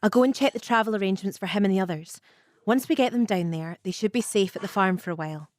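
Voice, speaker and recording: An actress in an audio drama playing a discreet, composed secretary who speaks in a measured, practical, cautioning way. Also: female voice, medium pitch, dry recording, close to an everyday voice. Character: Day Day, discreet, composed female secretary and Resistance helper.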